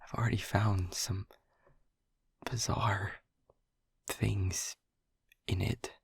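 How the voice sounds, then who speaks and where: Guy doing a nervous technician lover, husky creaky whisper, slow and hesitant, dry close mic. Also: lowish voice, little pitch movement, no room sound, exaggerated voice. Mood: anxious. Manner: hesitant and stammering, pleading. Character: anxious, devoted male technician lover, whispering at night. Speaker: audio roleplay performer. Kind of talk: intimate whispered audio roleplay.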